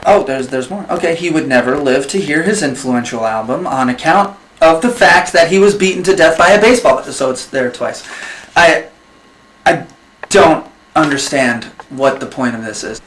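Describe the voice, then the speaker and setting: A man plays an exasperated, reluctant presenter in a comedy sketch, with a highish voice that swings widely in pitch. He speaks haltingly, as if unwilling to go on, and the room echoes around him. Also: animated, a bit bright. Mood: exasperated, baffled. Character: reluctant, exasperated male presenter reading grim fact cards. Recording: roomy, echoing sound with faint noise.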